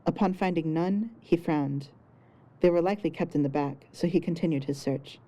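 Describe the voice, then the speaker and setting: A woman's voice: deep voice, moderate pace, warm tone. A woman reading scripted fiction narration as the storyteller of an audio story.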